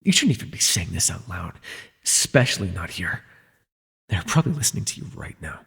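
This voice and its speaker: A man playing a captured telepath supervillain, a sardonic and worldly former mentor, in an immersive audio roleplay, with a husky, gravelly voice and theatrical, wry delivery. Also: deep voice, very animated, a little fast, a bit bright, exaggerated voice. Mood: stunned, incredulous. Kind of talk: immersive ASMR roleplay voice acting. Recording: long reverb, faint music behind.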